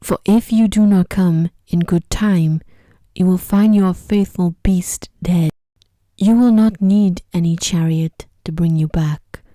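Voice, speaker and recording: A woman voicing a sorrowful, devoted male beast in a mournful, hushed ASMR storybook reading. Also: on the low side, takes it slowly, no echo, studio quality. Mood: solemn, tender.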